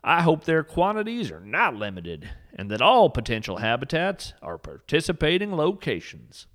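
A man's voice: on the high side, wildly swooping pitch, moderate pace, a bit bright. A man narrating a scripted story with dry, mock-serious humor.

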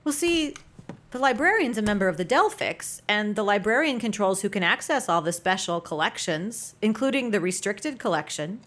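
A woman's voice: mid-range voice, animated, moderate pace, a bit bright, close to an everyday voice. An actress playing an eccentric, wry small-town mother in her sixties, chatty, playful and evasive in a natural audio drama. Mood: chatty, musing. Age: 60s.

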